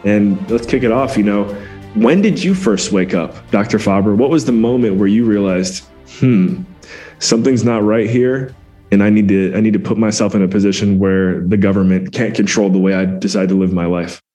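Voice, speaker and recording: A man's voice: fairly low pitch, moderate pace, mellow, soft background music. A man hosting a podcast, enthusiastic and friendly as he introduces the show and asks questions. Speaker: podcast host.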